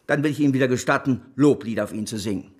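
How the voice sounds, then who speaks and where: An actor playing an irascible, domineering newspaper boss and schemer in a German radio drama, with a husky, declamatory delivery. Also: male voice, mid-range voice, very fast, darkish tone, lightly acted. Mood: demanding. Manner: imperious, declamatory.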